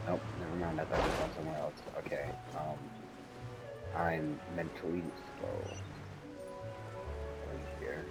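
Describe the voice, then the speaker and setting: A man with a low voice reacts casually as he streams a game. He is offhand and wry, and loud music plays behind him. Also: fairly even intonation, a little slow, creaky, pauses often.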